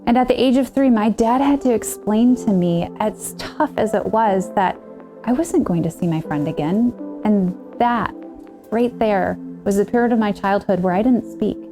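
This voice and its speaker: A woman's voice: medium pitch, normal speed, over music. A woman in her thirties earnestly telling her own story as a client and coach, in a scripted testimonial. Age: 30s.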